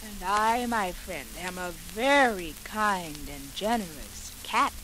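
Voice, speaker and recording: A sly, falsely generous cat, talking slowly and deliberately. The voice is smooth-talking, on a 1940s children's story record with some surface noise. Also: female voice, fairly low pitch, expressive, sharp tone, heavily acted. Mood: falsely sweet. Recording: noisy old 78 rpm record.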